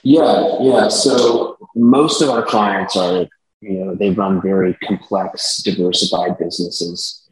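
A businesslike man with a deep, low voice, talking his way through explanations as an interview guest. His voice carries a roomy echo around it. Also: steady tone, slow, slight background noise. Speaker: company executive, guest. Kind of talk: casual interview answers.

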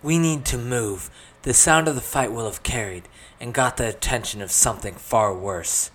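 A narrator voicing a cocky, commanding adventurer man in a fantasy story, with a brisk, self-assured delivery. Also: medium pitch, a bit bright, close to an everyday voice. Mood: urgent.

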